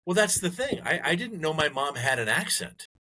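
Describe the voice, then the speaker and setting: A middle-aged man co-hosting a podcast, chatting casually and telling jokey stories with lively retellings. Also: a little high, normal speed. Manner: joking storyteller, animated retellings. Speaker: podcast co-host. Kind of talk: casual chat.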